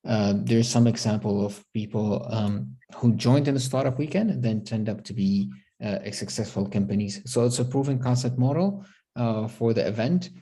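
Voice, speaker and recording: A man giving a presentation on a video call, pausing often and filling gaps with filler words. His pitch is slightly low and his tone is mellow and relaxed. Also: breathy edge. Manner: halting, many fillers. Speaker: program presenter.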